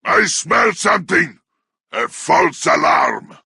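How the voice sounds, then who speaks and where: A man playing a brutish, bloodthirsty orc warrior in a video game, snarling crude taunts in a harsh, raspy growl. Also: fairly high pitch, animated, slow pace, pushed and bright, no room sound, shouting, studio quality, exaggerated voice. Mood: suspicious, gruff. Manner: snarling battle barks in crude, broken grammar. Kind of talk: video game combat barks.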